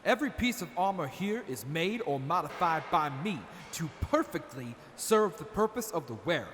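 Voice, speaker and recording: Quartermaster, male actor, showy and confident, highish lively voice, audio drama in a reverberant hall with faint noise. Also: normal speed. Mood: proud, assured. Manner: salesman-like, flattering, assured. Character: proud, showy, confident master armorer and quartermaster. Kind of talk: post-apocalyptic audio drama acting.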